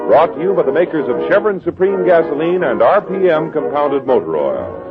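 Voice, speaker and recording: Persuasive old-time radio announcer man. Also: a little high. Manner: smooth, persuasive. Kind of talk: scripted commercial announcing. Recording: tinny old radio broadcast with music.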